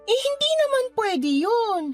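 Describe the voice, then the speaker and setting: Indignant cousin, very high voice. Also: female voice, very animated, measured pace, heavily acted. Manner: exclamatory, scolding. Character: indignant, protective, gossipy female cousin. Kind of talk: Tagalog radio drama acting. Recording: music bed behind the voice.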